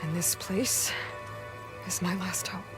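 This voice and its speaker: A woman playing a determined, guarded young adventurer in a video game, speaking earnestly in short, halting phrases. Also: on the low side, steady tone. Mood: solemn, halting. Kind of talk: naturalistic video game voice acting. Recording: game dialogue over background music. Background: music.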